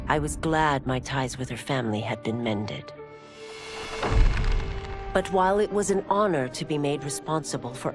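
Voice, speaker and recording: An actress voicing a dutiful, resolute city constable in a game, narrating in a composed and earnest manner. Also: female voice, low-pitched. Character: dutiful, plain-spoken female city constable, steady and resolute. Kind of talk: dramatic scripted narration. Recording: voice over music.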